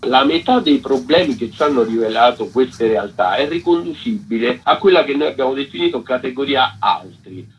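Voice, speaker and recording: Husky man explaining on muffled radio. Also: mid-range voice. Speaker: interview guest, researcher. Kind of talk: radio interview, explanatory talk.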